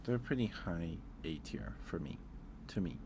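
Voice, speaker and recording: A man's voice: deep voice, sing-song, measured pace, gravelly, noisy background. A man chatting casually with livestream viewers as host, musing aloud in an informal, hesitant way.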